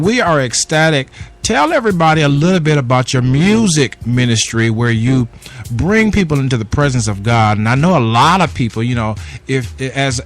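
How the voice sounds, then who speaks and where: A man's voice: medium pitch, fairly bright tone. A man hosting a radio interview show, speaking in a sing-song, preacherly way with effusive introductions.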